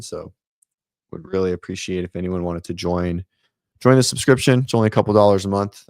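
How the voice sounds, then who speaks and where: Male host, deep and raspy, loose rambling delivery full of fillers, dry home-office mic. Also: darkish tone, no echo. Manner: rambling, many ums and restarts. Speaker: host of a fitness livestream show. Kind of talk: casual livestream chat. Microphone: set-up studio mic.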